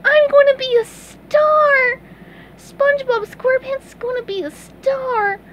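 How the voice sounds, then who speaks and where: A woman reading aloud and voicing an eager, cheerful young male sea sponge, squealing and excitable. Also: measured pace, slight background noise, low-quality recording. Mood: excited. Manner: squealing, excitable, high-pitched.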